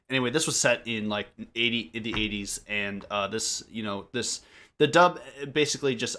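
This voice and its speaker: A man chatting casually on a group podcast, telling stories in a halting, rambling way that keeps interrupting itself. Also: lowish voice, bright, forceful tone. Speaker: podcast member, amateur voice actor.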